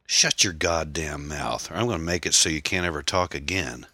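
A very deep, forceful male villain acted by an audiobook narrator, jealous and sneering. He drawls out taunts and threats in a folksy, foul-mouthed manner, recorded close and dry. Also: moderate pace, no echo, exaggerated voice. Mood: angry, menacing.

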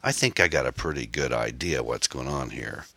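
A man's voice: extremely low pitch, normal speed, bright, forceful tone, husky, no echo, full character voice. A man voicing a menacing, jealous gunman, a foul-mouthed ex-boyfriend who taunts and threatens in a folksy way. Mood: taunting.

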